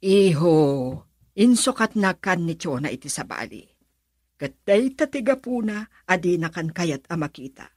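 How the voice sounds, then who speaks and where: Husky, expressive Filipino mother. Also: lowish voice, unhurried, no room sound, studio quality. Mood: worried, pleading. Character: anxious, coaxing middle-aged mother of a grown son. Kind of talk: radio drama acting.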